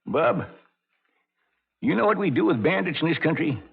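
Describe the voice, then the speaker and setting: An actor playing an older, blustering, folksy frontier saloon judge in an old radio drama, with an American Western drawl and a gravelly voice. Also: male voice, on the high side, animated, moderate pace, a little hiss or hum. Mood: stern, sly. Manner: folksy, bombastic, self-important. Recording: muffled vintage radio recording.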